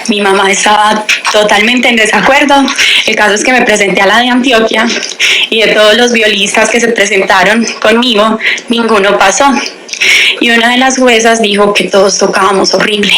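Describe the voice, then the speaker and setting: A woman's voice: medium pitch, normal speed, background noise. A young woman, a language teacher giving a radio interview and candidly telling her story. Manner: lively, candid storytelling, acts out quoted dialogue.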